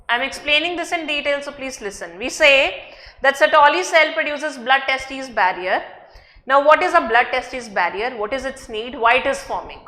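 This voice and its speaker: Indian-accented woman lecturer, high bright voice, patient step-by-step explainer. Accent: Indian English. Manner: explains step by step with frequent checks like okay and right. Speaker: biology teacher. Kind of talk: online class lecture.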